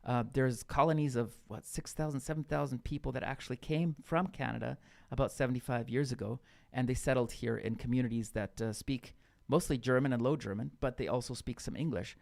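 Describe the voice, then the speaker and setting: A man's voice: medium pitch, fairly even intonation, a little fast, gravelly, a little mellow. A man co-hosting a casual radio podcast, talking loosely with lots of fillers and restarts. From Brazil. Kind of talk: casual chat.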